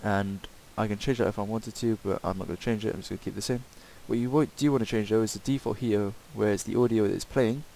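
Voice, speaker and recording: Guy doing a casual screen tutorial, really mellow voice, bit rambly, faint hiss on the mic. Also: on the low side, steady tone, fast talker, creaky, hardly any pauses. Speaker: tutorial presenter. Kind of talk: casual screen-recorded software tutorial, improvised. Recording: hissy home microphone recording.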